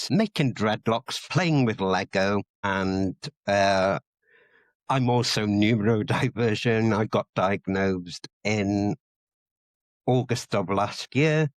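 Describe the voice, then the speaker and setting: A man's voice: on the low side, slow, dry recording. A Welsh man who speaks Welsh natively but sounds English, chatting nervously on a podcast and hesitating with many ums. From Wales. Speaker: podcast co-host. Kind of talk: casual chat.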